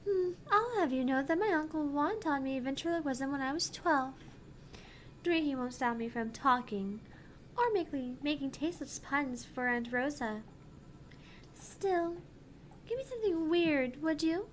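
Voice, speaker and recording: Woman putting on a high-voiced playful young hacker woman. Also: lively intonation, a little slow, a little hiss or hum. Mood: playful, chatty. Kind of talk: visual novel voice-over read-aloud.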